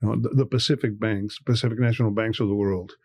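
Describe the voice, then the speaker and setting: A man's voice: on the low side, steady tone, quick pace, darkish tone, no echo. An older man from Cuba, a bank executive speaking passionately in a podcast interview, often repeating words for emphasis. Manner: passionate, repeats words when stressing a point.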